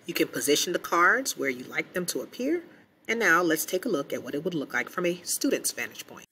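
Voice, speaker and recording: A woman teacher giving clear step-by-step instructions in a screen-recorded software tutorial, voiced over the screen. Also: lowish voice, very animated, a little fast, a little hiss or hum.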